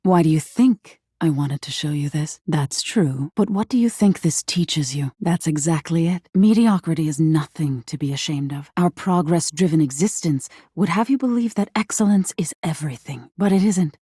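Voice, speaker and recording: A woman voicing an eerie, thoughtful nightmare spirit in a video game, measured and breathy, often slipping toward a whisper. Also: sits low, expressive, mellow, dry recording, studio quality. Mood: gently lecturing. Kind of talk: scripted game character acting, intimate second-person storytelling.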